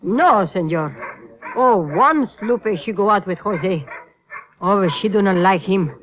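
A man's voice: sits high, expressive, slow, fairly bright tone, full character voice, rough recording. A man playing a wary, friendly Mexican farm worker in broken English, in a 1950s radio drama style. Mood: earnest.

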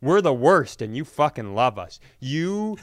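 A man's voice: mid-range voice, big pitch swings, a little slow. A man in casual comedic chat, telling stories in a profane, exasperated way. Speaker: comedy podcast host.

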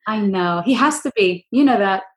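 A woman hosting a podcast in casual chat, with a deep, gruff voice, talking loudly and in long, winding stories. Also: expressive, normal speed. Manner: loud, opinionated, rambling storyteller. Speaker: podcast host. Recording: roomy echo.

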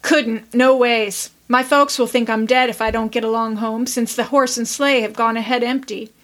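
A woman's voice: fairly high pitch, fairly even intonation, normal speed, a bit bright, lightly acted. A woman voicing a big, teasing young farm man who speaks in a rustic country drawl, in a storybook reading.

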